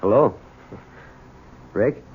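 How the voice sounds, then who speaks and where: An actor playing an embittered, blunt American working man in a radio drama. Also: very slow. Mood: awkward, guarded. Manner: gruff, blunt, colloquial. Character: embittered working-class gas station owner, resentful son. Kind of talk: 1970s radio drama acting. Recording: tinny, noisy old radio broadcast.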